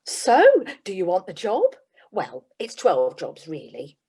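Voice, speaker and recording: A woman's voice: on the low side, very animated, slow, darkish tone, husky, heavily acted. A woman playing a businesslike, slightly weary job agent in a comic radio play. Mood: dry. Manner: businesslike, dry, faintly exasperated. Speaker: employment agent.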